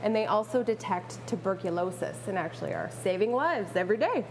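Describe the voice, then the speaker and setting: Playful woman, medium-pitched voice. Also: lively intonation, a little fast, over music, rough recording. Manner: playful, enthusiastic, informal. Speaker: guest expert, pet rat owner and rescuer. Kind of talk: casual interview chat.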